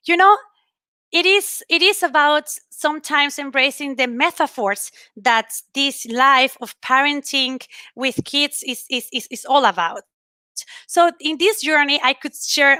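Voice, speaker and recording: A woman's voice: high voice, sharp tone, no echo. A woman in her thirties from Venezuela with a Venezuelan Spanish accent, telling personal stories earnestly and expressively as a speaker in an online talk. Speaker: panel speaker. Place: indoor room at home, on a video call.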